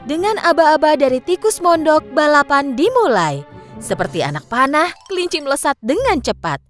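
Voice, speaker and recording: High, animated woman storyteller. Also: normal speed, soft background music. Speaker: narrator. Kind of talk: scripted children's story narration.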